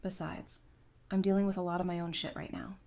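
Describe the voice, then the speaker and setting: A woman's voice: lowish voice, flat delivery, brisk, a little mellow, lightly acted. A woman playing a caring but hurt psychiatrist in an audio drama, speaking in a candid, conversational way. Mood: wry, candid. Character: caring psychiatrist woman, weary and hurt, wryly self-deprecating.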